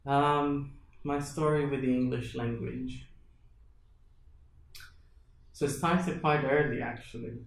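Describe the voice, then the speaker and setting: Man, animated. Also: mid-range voice, a little slow, gravelly. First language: Portuguese. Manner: animated, acts out his own past thoughts. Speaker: guest. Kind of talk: storytelling in a casual interview. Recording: echoey room, faint music behind.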